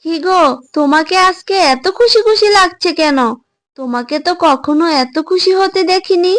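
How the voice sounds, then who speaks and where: A woman plays an excitable tigress wife in a cartoon fable. The voice is high and exclaiming, quick to tease and nag her husband. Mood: surprised, delighted. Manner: exclaiming, wifely teasing. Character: nagging, excitable tigress wife with big dreams. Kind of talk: Bangla cartoon fable voice acting.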